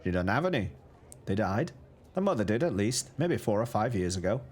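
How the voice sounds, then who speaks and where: A man's voice: on the low side, big pitch swings, a little fast, a little mellow, breathy edge. A man putting on a plump, kindly market greengrocer woman, chatty and sighing as she gossips. She speaks with a put-on regional accent in a storybook tale. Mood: gossipy.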